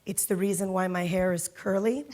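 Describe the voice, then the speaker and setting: A woman's voice: medium pitch, normal speed. Woman telling her career story on a panel. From Miami, Florida. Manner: lively storytelling with frequent fillers and laughs. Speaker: panelist, corporate security executive. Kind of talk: panel talk. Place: conference hall.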